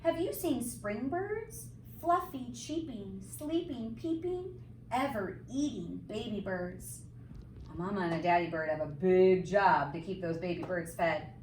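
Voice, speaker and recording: A woman reading a picture book aloud to young children like a teacher, with expressive, sing-song, emphatic phrasing and simple explanatory asides. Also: mid-range voice, measured pace. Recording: roomy, distant mic, faint background noise.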